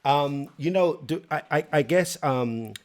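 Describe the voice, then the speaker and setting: A man's voice: fairly high pitch, a little slow. Hesitant male interviewer. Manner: hesitant, many ums and restarts. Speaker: interview host. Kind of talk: casual interview.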